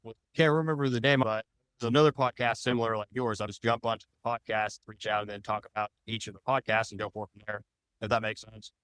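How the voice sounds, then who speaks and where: A man's voice: fairly low pitch, fairly even intonation, quick pace, fairly bright tone, slight background noise, poor audio. A man talking casually as a podcast guest, rambling along in loosely worded sentences. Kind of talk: casual interview chat.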